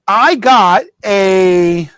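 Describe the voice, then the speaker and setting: A man with a notably high voice hosts a laid-back model railroad stream from his hobby room. He talks in loose, rambling bursts, restarting sentences and cracking jokes along the way. The sound is close and dry, with almost no room echo. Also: extremely slow, a bit bright, clean tone. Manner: rambling, self-correcting, joking. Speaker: host of a model railroad show. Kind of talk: casual live-stream chat.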